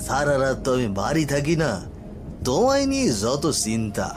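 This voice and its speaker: A man's voice: medium pitch, huge pitch swings, moderate pace, over music. A man voicing a concerned, easily startled village fisherman husband in a cartoon, exclaiming and questioning.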